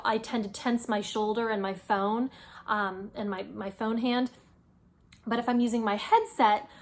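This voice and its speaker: High-voiced woman giving friendly advice. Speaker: host giving career advice. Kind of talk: informal instructional video talk.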